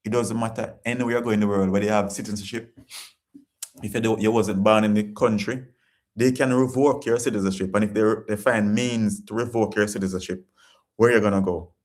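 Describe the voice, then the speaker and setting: A man's voice: lowish voice, steady tone, fairly quick, a little mellow. A Jamaican man hosting a casual live-stream chat, reading viewer comments and talking in an earnest, repetitive, preachy way.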